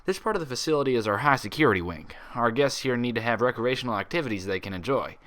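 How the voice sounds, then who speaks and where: A man's voice: medium pitch, animated, fast talker, fairly bright tone, lightly acted. A man voicing a frank, proud, friendly female pony doctor with a folksy way of talking, in a story reading.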